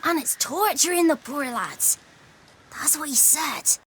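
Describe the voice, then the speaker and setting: A naive little village child from a fantasy game, cheeky and gossipy. The high voice rambles in artless rustic phrases over faint background noise. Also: female voice, expressive, unhurried, a bit bright. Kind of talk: video game voice acting.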